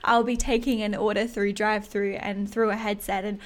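A woman with an Australian accent hosts a solo podcast in a casual, chatty way. Her voice is a little husky and sits at a middling pitch. She speaks candidly and puts firm emphasis on her points. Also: flat delivery, normal speed. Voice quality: slightly husky. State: throat a bit hoarse in early morning. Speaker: podcast host.

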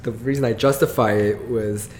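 A man's voice: fairly low pitch, normal speed. A young man in his twenties from Guam, a comedian chatting casually as a guest and acting out the people in his stories. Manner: animated storyteller, acts out his parents' voices. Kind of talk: casual interview chat. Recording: echoey room with faint noise.

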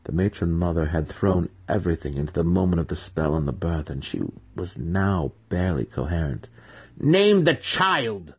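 A man giving a dramatic scripted narration of a fantasy audiobook in a husky voice. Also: deep voice, fairly quick, hardly any pauses, mellow.

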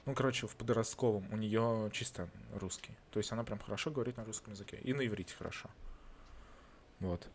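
A man's voice: lowish voice, fast talker, a little hiss or hum. A man from Russia in casual chat, talking bluntly and swearing often. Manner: swears a lot, animated and blunt. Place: lives in Israel.

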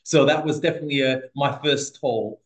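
Man, 30s, from Portugal, podcast guest, casual, hesitant, restarts, middle pitch. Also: fairly quick, low-quality recording. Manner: hesitant, many restarts and fillers. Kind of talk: casual interview storytelling.

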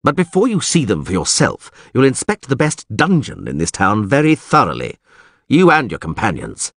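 A man voicing a hot-tempered middle-aged male mayor who blusters and shouts at everyone around him. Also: mid-range voice, huge pitch swings, moderate pace, no echo, clean studio sound. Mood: stern, mocking.

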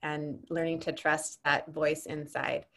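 A woman who works as a coach, hosting an interview in a relaxed, rambling way and filling her talk with "like" and "you know". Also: sits low, a little slow. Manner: relaxed, rambling, heavy use of fillers. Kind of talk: casual conversational interview.

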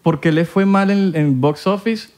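A man's voice: high-pitched, a little slow, a little mellow. A man hosting a podcast, joking and teasing his guest in slangy, casual banter. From Miami area. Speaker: podcast host.

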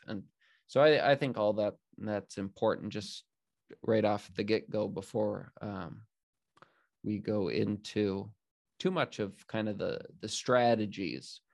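A man's voice: fairly low pitch, creaky, darkish tone, no echo, studio quality. A young man hosting a faith podcast, speaking earnestly in casual talk, often restarting sentences and using fillers. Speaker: podcast host.

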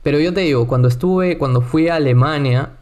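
A man hosting a casual chat, telling travel stories in an animated, joking way. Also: on the high side, fairly quick. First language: Spanish. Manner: chatty, animated storyteller with playful asides.

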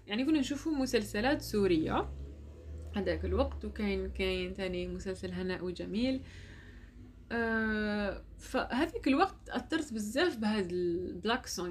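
Woman, Algerian accent, young adult, casual monologue, lively, laughs often, drops in French words. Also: mid-range voice. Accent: Algerian Arabic.

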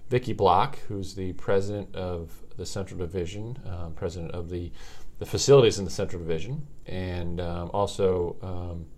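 A man's voice: sits low, steady tone, normal speed, few pauses, darkish tone. A man co-hosting a medical podcast, giving an upbeat, filler-laden welcome. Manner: upbeat, filler-heavy delivery. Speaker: podcast co-host, hospital medical officer. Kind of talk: scripted podcast intro.

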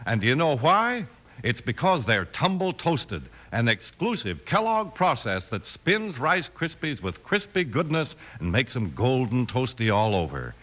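Bright, swooping American ad announcer man. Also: medium pitch, sing-song, unhurried. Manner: chummy, coaxing. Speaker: commercial announcer. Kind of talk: 1950s radio cereal commercial, addressing children.

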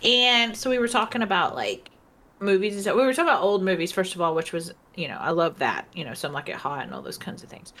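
A woman's voice: fairly low pitch, expressive, quick pace, a bit bright. A woman co-hosting a podcast in casual chat, rambling with lots of fillers and giggles, tipsy and socially drained. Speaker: podcast co-host.

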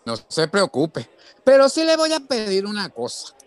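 An actor playing a burly, kindly older boss in a radio drama, frank and colloquial. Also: male voice, high-pitched, huge pitch swings, moderate pace, dry recording, a faint crowd. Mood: kindly, earnest. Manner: colloquial, folksy.

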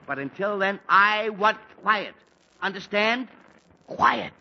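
A man's voice: sits high, animated, slow. A blustering, fed-up radio-play father. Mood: exasperated. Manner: blustering, fussy and easily annoyed. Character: exasperated middle-aged father with a headache. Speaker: father of a teenage son. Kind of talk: 1940s radio acting. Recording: dull, faintly hissy 1940s radio recording.

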